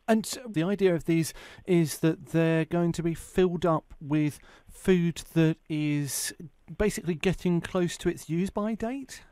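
A man's voice: on the high side, unhurried, dark tone, clear, resonant tone, no echo. A man asking questions as a radio interviewer.

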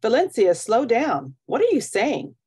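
A woman's voice: medium pitch, expressive, moderate pace, natural voice. A woman voicing a busy, caring but firm mother in a children's storybook. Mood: impatient. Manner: gently firm, reasoning.

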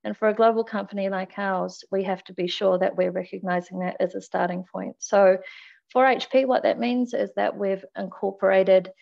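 A woman's voice: medium pitch, fairly even intonation, moderate pace, creaky, a little mellow. A woman in her thirties with a New Zealand accent, presenting on an online panel. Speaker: corporate human rights lead, panelist. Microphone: headset on a video call. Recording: slightly dull video-call audio.

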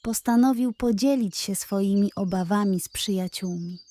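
Female bedtime storyteller, gentle, measured, warm and mellow. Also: medium pitch.

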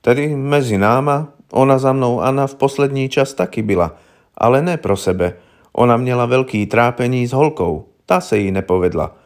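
A man voicing a wary, gruff old village herbalist woman in an audiobook, with blunt, knowing, rustic colloquial speech. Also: medium pitch, close to an everyday voice. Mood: blunt, confiding. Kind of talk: audiobook character voice.

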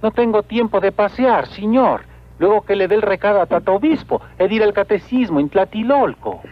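A humble, simple-hearted young peasant from an animated film, with a Mexican accent. His high, very lively voice is deferential and sincere, on a lo-fi track. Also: fairly quick. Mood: polite, earnest. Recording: dull lo-fi old film soundtrack.

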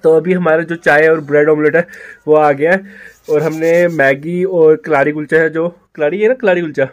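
A man's voice: fairly high pitch, fairly even intonation, moderate pace. A young man chatting casually to his phone camera on a cold, rainy hillside, vlogging a motorbike trip. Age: young adult. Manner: chatty, talks to camera while riding trip. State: feeling cold. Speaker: travel vlogger and biker. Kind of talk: casual travel vlog. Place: outdoors on a rainy hillside camp. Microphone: handheld phone selfie.

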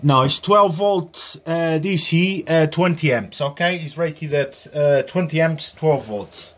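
Slow, halting man with a highish voice. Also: a bit bright. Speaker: host of a hobby radio tutorial. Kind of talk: informal hands-on demonstration. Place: small indoor workbench area.

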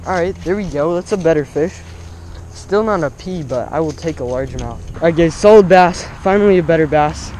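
A young man commenting live on his fishing outdoors by a pond, breaking into excited exclamations with every catch. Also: fairly high pitch, fairly quick, slight background noise. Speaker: fishing vlogger.

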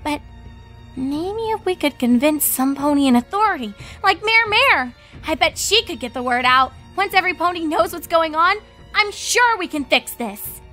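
A woman voicing an earnest, worried young female pony with a bright, high voice in a story reading. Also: expressive, moderate pace, faint music behind, exaggerated voice. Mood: worried, hopeful.

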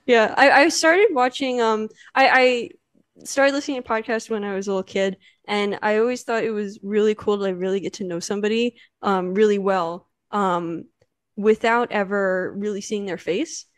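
A young American woman hosts a podcast in casual chat, bubbly and quick to giggle, filling her talk with likes and ums. Her voice is medium-pitched, and the close mic leaves it dry with no room sound. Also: clean tone, no echo. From United States. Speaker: podcast host. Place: indoor studio on a sofa. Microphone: handheld dynamic mic on a boom arm.

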